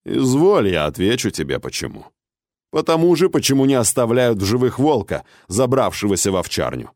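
A man's voice: mid-range voice, big pitch swings, brisk, a little mellow, no room sound, studio quality. Guy doing a cold bossy ruler for an audiobook, stern and unruffled, mid voice, no room sound. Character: stern, cold, imperious male ruler, the Archon. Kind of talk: audiobook character acting.

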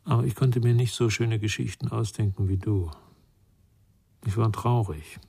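Patient, melancholy carer, low creaky voice, subdued and gentle, radio play. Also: male voice, fairly even intonation, moderate pace. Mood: gentle, wistful. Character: gentle, melancholy man patiently caring for a silent boy. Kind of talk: radio drama acting.

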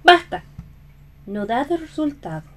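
A woman playing a skeptical, impatient theatre stagehand in a radio play, with a measured, questioning delivery. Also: high-pitched, sing-song, exaggerated voice.

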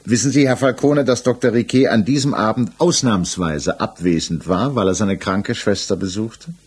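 Examining magistrate, low and husky, coolly persistent, measured interrogation in 1960s German radio-play style. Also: male voice. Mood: pressing. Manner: measured, formal, pointed questioning. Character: male examining magistrate, methodical, probing, insistent, coolly persistent. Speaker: actor in a radio crime drama.

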